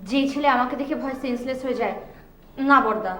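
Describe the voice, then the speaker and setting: A woman's voice: high-pitched, fast talker, fairly bright tone, a little hiss or hum. An actress playing an outspoken, stubborn young woman in a television drama. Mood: indignant, teasing. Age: young adult. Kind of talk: TV drama acting. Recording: roomy echo, faint music behind.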